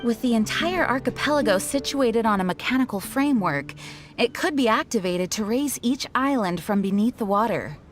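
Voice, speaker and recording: A woman playing a dutiful young knight commander in a video game, speaking in a measured, formal and earnest way. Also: fairly high pitch, animated, close to an everyday voice. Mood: analytical. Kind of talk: video game character acting. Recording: music behind the voice.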